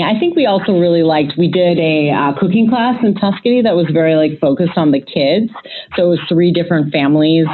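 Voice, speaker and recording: A woman from the United States with a low voice. She tells stories in a loud, chatty way, with many fillers and restarts. The sound is thin and phone-like. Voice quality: self-described loud voice. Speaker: guest describing a family trip. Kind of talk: casual conversational storytelling. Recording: tinny phone-line audio.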